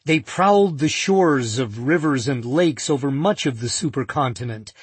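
A man narrating a first-person nonfiction audiobook in a measured, vivid storytelling manner. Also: mid-range voice, lively intonation, slightly rough, dry recording.

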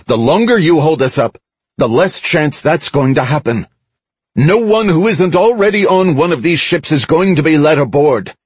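Male narrator as earnest young-hearted soldier: pleading yet firm, pushed higher, audiobook drama. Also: expressive, moderate pace, breathy edge, dry recording. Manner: emphatic, loud appeals.

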